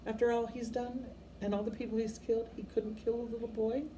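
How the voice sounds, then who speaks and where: A woman's voice: on the high side, little pitch movement, fairly quick, warm tone, slight background noise, voice pushed up, natural voice, low-quality recording. A woman voicing a stern, proper witch professor in a storybook, anxious, emphatic and exasperated. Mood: shaken, amazed.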